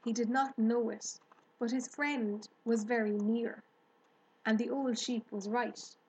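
A woman narrating a children's storybook aloud in a measured, scripted delivery. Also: on the high side, steady tone, darkish tone, husky, rough recording. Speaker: storybook reader.

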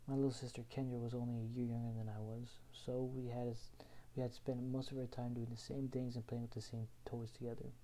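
A young man from Houston, Texas, reading his own written personal story aloud in an earnest, measured way. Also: medium pitch, level tone, dark tone, slight background noise, low-quality recording. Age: young adult.

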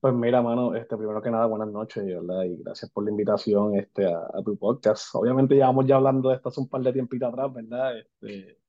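A man's voice: mid-range voice, steady tone, fairly quick, few pauses. Puerto rican man, 40s, interview guest, switches languages, slightly dull audio. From Puerto Rico. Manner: switches between Spanish and English, many fillers. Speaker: guest, basketball agent. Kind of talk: casual interview talk.

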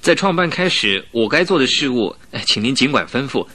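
An actor playing a naive, deferential young clerk in a radio drama, polite and eager to please. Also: male voice, mid-range voice, sing-song, a little fast, sharp tone.

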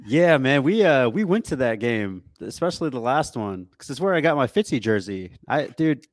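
A man's voice: mid-range voice, big pitch swings, normal speed, no room sound. Casual male podcaster, dry close sound. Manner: slangy, mildly profane. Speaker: podcast co-host. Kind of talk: casual sports chat.

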